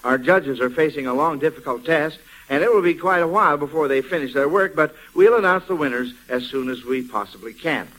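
A man's voice: on the high side, brisk, hardly any pauses, a bit bright, rough recording. A man hosting an old-time radio quiz show, encouraging and affirming as he goes, partly ad-libbing. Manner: encouraging, affirming children's answers.

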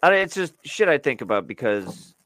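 A man's voice: medium pitch, big pitch swings, normal speed, a bit bright, dry recording, low-quality recording. A man co-hosting a podcast, chatting casually and rambling with lots of fillers and restarts. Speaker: podcast co-host. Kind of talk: casual chat.

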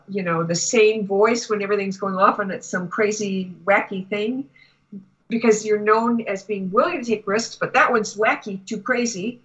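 A woman gives a spiritual reading at a slow, measured pace, pausing often between phrases. Her voice is husky, and the recording is somewhat muffled. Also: medium pitch, a bit bright. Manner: halting, deliberate phrasing with long pauses. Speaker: Akashic Records reader, guest. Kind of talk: spiritual reading given to a client.

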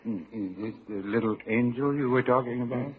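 A man playing a mild, dry-witted older shopkeeper in an old radio comedy, deadpan throughout. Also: mid-range voice, moderate pace, crowd noise, lightly acted. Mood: dry, unruffled. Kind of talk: 1940s-50s radio comedy acting. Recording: tinny old radio broadcast, studio audience.

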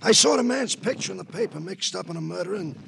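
An actor playing a fussy, indignant New citizen informant, peevish and stammering, in radio crime drama style. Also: male voice, high voice, expressive, fast, gravelly, a bit bright, exaggerated voice. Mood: flustered, indignant. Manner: peevish, self-righteous, stammering. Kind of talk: 1950s American radio crime drama acting. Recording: faintly noisy old radio recording.

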